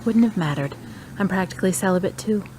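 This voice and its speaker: A woman's voice: medium pitch, quick pace, dark tone, slight background noise, lightly acted. An actress playing a frank, playful divorced woman in a radio drama, talking in a teasing, direct way.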